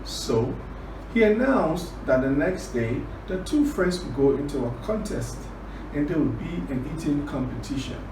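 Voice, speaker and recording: Male storyteller-teacher, slightly high voice, slow deliberate delivery, repetition for emphasis, distant noisy recording. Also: expressive, roomy sound. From Liberia. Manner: deliberate, repeats phrases for emphasis. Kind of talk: children's storytelling and word lesson.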